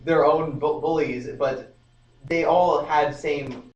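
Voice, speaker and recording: A man's voice: fairly high pitch, steady tone, distant mic. A young man chats loosely, sprinkling "like" through his sentences. The sound is dull and a bit lo-fi. He sits away from the mic in an echoing room. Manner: quick, informal, says "like" often. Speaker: podcast co-host. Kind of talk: casual discussion. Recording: dull, roomy live stream audio from afar.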